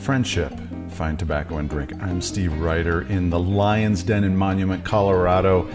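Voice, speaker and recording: Deep-voiced man hosting a casual podcast. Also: moderate pace, music in the background. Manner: relaxed, chatty, asks short follow-up questions. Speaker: podcast host. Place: home lounge.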